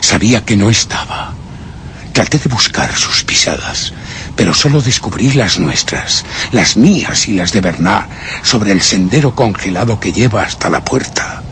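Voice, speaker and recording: A man with a Castilian accent playing a haunted, obsessive gentleman who narrates a horror radio play in a grave, suspenseful, theatrical way. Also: on the low side, sing-song, unhurried, a little hiss or hum, heavily acted. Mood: stunned dread. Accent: Castilian Spanish. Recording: dramatic music behind the voice.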